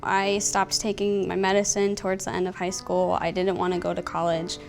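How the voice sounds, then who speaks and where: A young woman in her twenties from Pennsylvania speaks openly and reflectively about her own life. Her mid-pitched voice has a gravelly, creaky edge, with soft music behind. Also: monotone, moderate pace. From Pennsylvania, USA. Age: 20s. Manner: reflective, open. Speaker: interviewee. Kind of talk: personal testimony.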